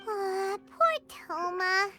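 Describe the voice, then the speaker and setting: A woman voicing a tiny, chirpy floating companion girl in a game cutscene, calling out excitedly. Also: very high-pitched, very slow, soft background music, full character voice. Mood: teasing.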